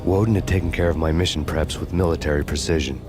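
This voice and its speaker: World-weary American noir avenger for game narration. Extremely low, monotone, wry, over music. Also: male voice, fast talker. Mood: grim, brooding. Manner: deadpan, sardonic. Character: vengeful world-weary hard-boiled male detective, bitterly wry.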